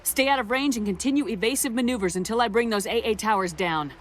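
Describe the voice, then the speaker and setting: A woman's voice: on the high side, fast talker, lightly acted. A woman playing a composed, decisive military commander in a video game, with a direct and controlled way of speaking. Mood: urgent, commanding. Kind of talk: video game dialogue acting. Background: music.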